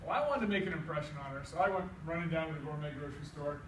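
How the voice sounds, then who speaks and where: A middle-aged American man tells a comic tall tale live, in a folksy, animated way, acting out both sides of the dialogue. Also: on the high side, rapid-fire. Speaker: storyteller. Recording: echoey room, distant mic, faint noise.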